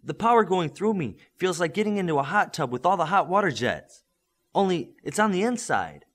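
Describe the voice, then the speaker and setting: A man voicing an eager young male superhero trainee in an audiobook, earnest and excitable. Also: high voice, brisk, voice pushed up, exaggerated voice. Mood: awed, elated. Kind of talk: audiobook character acting.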